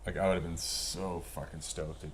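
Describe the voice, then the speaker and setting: A man's voice: sits low, little pitch movement, brisk, a crowd in the background. A middle-aged man chatting casually as a podcast guest, laid-back, slangy and freely swearing as he reminisces. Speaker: podcast guest, veteran pro snowboarder. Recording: noticeable background room noise.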